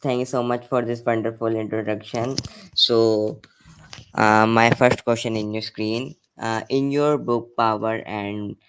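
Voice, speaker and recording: A man from Pakistan hosting an interview, polite and formal, with halting, fillered phrasing. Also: fairly low pitch, fairly even intonation, a little hiss or hum, poor audio. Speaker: interview host.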